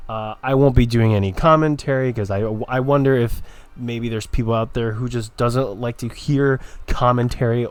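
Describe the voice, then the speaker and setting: A man's voice: medium pitch, a little mellow. A man rambling casually and hesitantly as he introduces a gameplay video, with an offhand manner. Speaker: gaming video creator.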